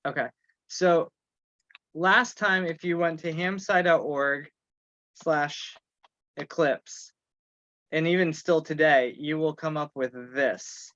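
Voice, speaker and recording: A man with a slightly high voice chairs a casual online meeting. He talks haltingly, working through his thoughts aloud. The sound is dry and a little dull, as on a video call. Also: a bit bright, no room sound. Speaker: meeting host and organizer. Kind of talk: informal online meeting talk. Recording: muffled video call audio.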